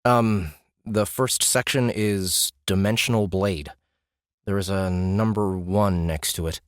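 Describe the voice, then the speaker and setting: A man's voice: low-pitched, fairly even intonation, slow pace, clear, resonant tone, no echo, clean studio sound. A man voicing an uncertain, ordinary man stranded in a game world, speaking hesitantly in an audiobook. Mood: tentative. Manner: hesitant, tentative. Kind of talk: audiobook character acting.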